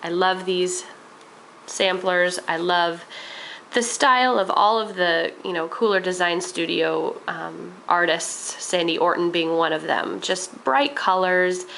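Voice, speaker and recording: A woman's voice: fairly low pitch, takes it slowly, gravelly, a bit bright, slight background noise, rough recording. A young woman chatting enthusiastically about her crafts with lots of ums, a little nasal while getting over a cold. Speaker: crafting vlogger. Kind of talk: casual show-and-tell vlog.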